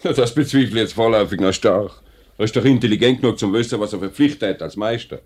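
An actor playing an assured, plain-spoken company director with a Swiss German accent in a 1950s radio drama, brisk and authoritative. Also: male voice, on the low side, a little mellow, slightly rough. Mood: persuasive.

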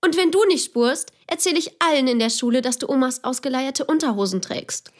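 A woman's voice: sits high, brisk, close to an everyday voice. A woman playing a bossy, cool teenage big sister in a children's radio play, with a smug, threatening manner. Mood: menacing. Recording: faint music behind the voice.

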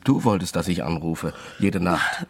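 Deep, husky uneasy accomplice. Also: male voice, unhurried, full character voice. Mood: uneasy, sardonic. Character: uneasy, sardonic male secret lover and accomplice. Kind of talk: naturalistic radio crime drama acting.

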